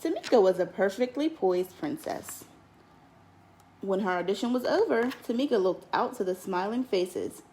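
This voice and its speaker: A woman teacher reading a picture book aloud in an expressive, lilting storytelling manner. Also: mid-range voice, normal speed, slight background noise, poor audio. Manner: expressive, lilting storytelling with lifts on key words.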